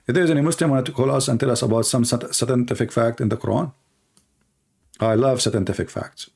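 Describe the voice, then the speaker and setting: Gravelly, husky man with an Arabic accent. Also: medium pitch, a little mellow. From Middle East. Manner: sarcastic, mocking; halting, non-native phrasing. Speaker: host, religious apologist. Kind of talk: live call-in broadcast, rambling informal commentary.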